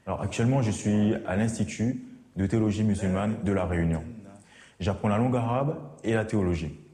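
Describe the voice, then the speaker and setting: A man's voice: lowish voice, fairly quick, warm tone. A young man studying theology, carefully translating and describing his lessons. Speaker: theology student. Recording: echoey classroom.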